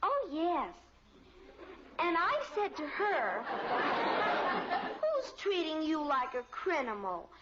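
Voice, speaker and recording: A woman's voice: sits high, huge pitch swings, takes it slowly, long pauses, over a noisy crowd, exaggerated voice. An actress playing a chatty, insistent little girl who rambles through her stories in a radio sitcom. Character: chatty little girl, youngest daughter, undeterred. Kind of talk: 1950s radio sitcom acting. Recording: dull old radio recording, faint noise.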